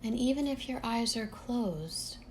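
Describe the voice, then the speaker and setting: A woman leading a guided relaxation meditation in a calm, gentle, unhurried manner, leaving long pauses between phrases. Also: fairly high pitch, slight background noise.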